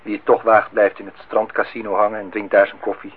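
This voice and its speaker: An actor playing a polite, lonely host in a radio play, formal and slightly insistent. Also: male voice, fairly low pitch, level tone, fairly quick, breathy edge. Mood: hesitant, matter-of-fact. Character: polite, lonely man hosting a young woman at his lakeside house. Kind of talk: Dutch radio play acting. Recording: noisy, tinny old radio recording.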